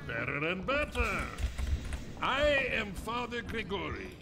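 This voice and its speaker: An eccentric priest figure from a video game, speaking. His voice sits high and moves restlessly up and down. It comes through the game audio, quieter than the streamer. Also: very animated, a little slow, gravelly, heavily acted. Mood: ominous. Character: eccentric zealous priest in a haunted town. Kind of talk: game voice acting.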